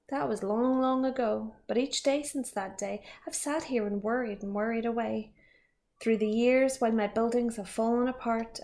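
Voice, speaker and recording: A woman's voice: fairly high pitch, vocal fry. Woman reading a storybook aloud expressively. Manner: expressive and lively.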